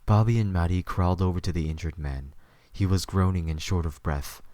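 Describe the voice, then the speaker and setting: A man's voice: low-pitched, fairly quick, dark tone, no echo, clean studio sound. A man narrating a scripted story for an audio drama.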